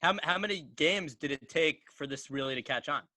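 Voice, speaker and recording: A man's voice: a little high, fairly quick, bright, forceful tone, no echo, studio quality. A man hosting a podcast, asking casual interview questions.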